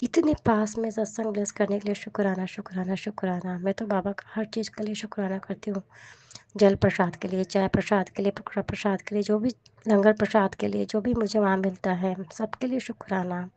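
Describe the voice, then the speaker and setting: A woman with a hoarse, cold-roughened voice, earnestly sharing a devotional testimony and repeating her thanks. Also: medium pitch, fairly even intonation, a little fast, dark tone.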